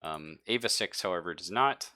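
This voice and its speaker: Low-pitched man running a tabletop game. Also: expressive, moderate pace, bright, forceful tone, no room sound. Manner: casual, profane, joking asides. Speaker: game master. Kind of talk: narrating a tabletop roleplaying game.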